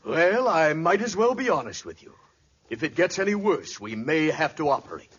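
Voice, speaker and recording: An actor playing a mock-grave family doctor in a 1940s radio comedy, with a deliberate bedside manner. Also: male voice, on the high side, very animated, fairly bright tone. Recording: very noisy, dull old radio recording.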